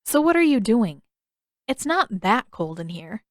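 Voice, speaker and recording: A woman's voice: a little high, sing-song, unhurried, no echo, clean studio sound. A woman voicing an eager, innocent young boy in an audiobook story, earnest and full of questions. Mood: curious, eager.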